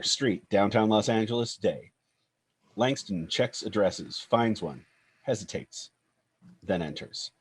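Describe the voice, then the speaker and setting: A man reading screenplay stage directions as narrator in a scripted style, with a husky voice. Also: lowish voice.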